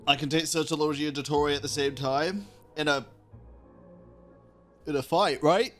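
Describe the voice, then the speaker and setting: A man's voice: fairly high pitch, fairly even intonation, measured pace, fairly bright tone, soft background music. Casual, sweary male game streamer. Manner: informal, sweary. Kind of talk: casual livestream commentary and reading game dialogue.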